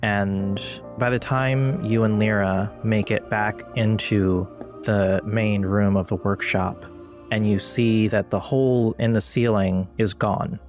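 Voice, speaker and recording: Slow-paced, low-voiced male game master. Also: fairly even intonation, a little mellow, faint music behind. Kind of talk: narrating a tabletop actual play.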